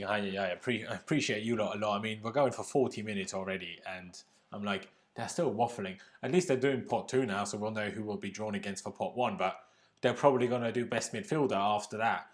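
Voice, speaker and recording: A man's voice: on the low side, quick pace. A young man from Austria hosting a live football reaction stream, chatting casually, reading viewer comments and laughing often. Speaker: livestream host reacting to a football draw.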